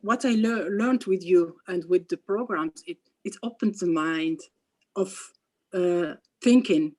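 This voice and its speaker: Interview guest, woman, first language Arabic, low-pitched, hesitant and full of restarts, video call. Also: mellow. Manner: halting, many restarts and fillers. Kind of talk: casual interview conversation.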